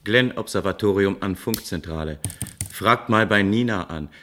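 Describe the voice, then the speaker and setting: Deep male operator played by an actor, procedural, matter-of-fact, radio drama, faint noise and keyboard clicks. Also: normal speed, lightly acted. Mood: busy. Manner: clipped, procedural. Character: busy, matter-of-fact control-room operator, sceptical. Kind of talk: German science-fiction radio play acting.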